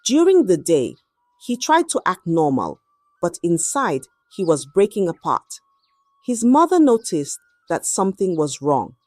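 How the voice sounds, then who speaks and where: A woman narrating a scripted folktale in a dramatic, measured storytelling manner. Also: lowish voice, huge pitch swings, a little mellow, no echo, studio quality, soft background music.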